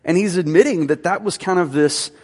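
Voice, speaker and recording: A man's voice: high-pitched, fairly quick, breathy edge. A man in his thirties, a teaching pastor giving a conversational sermon with frequent fillers, in a church sanctuary. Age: 30s. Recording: long hall reverb.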